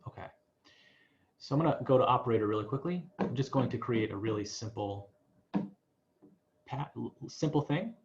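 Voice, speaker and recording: A man teaching an informal online class, demonstrating software step by step and thinking aloud in a halting, tentative way. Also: mid-range voice, animated, dull audio, a little hiss or hum. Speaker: music professor teaching a class. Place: online video class, voice through a microphone. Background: electronic music and synth tones.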